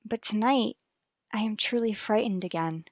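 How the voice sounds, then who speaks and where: A woman's voice: mid-range voice, moderate pace, no room sound, studio quality, lightly acted. An actress playing an anxious, troubled mother in an old radio soap, speaking earnestly and formally. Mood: apprehensive. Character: anxious, troubled mother and wife, polite and guarded. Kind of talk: mid-century radio soap acting.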